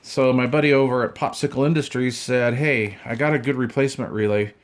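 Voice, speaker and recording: A man's voice: medium pitch, fairly even intonation, brisk. A man in his fifties chatting casually through an unboxing, in a folksy, rambling way with informal slang. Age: 50s. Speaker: radio hobbyist vlogger.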